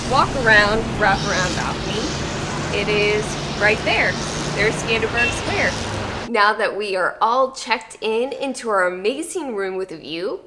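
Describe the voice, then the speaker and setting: Excited woman travel vlogger. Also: mid-range voice, very noisy. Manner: excited, exclaiming. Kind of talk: casual travel narration.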